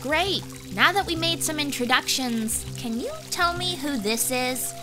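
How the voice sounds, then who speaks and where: Actress as inquisitive fantasy kid, female, highish voice, very unhurried, blunt and stubborn, music behind. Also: expressive. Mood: upbeat. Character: curious, blunt, stubborn young web-fingered girl. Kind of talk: dramatised fantasy audiobook acting.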